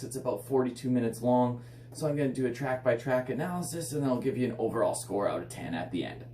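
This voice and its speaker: A man with a hoarse, raspy voice from illness, enthusiastically reviewing a metal album in casual, rambling speech full of "like". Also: medium pitch, a little mellow. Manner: enthusiastic, filler-heavy rambling with frequent "like". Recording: echoey room, slightly distant mic, faint hum.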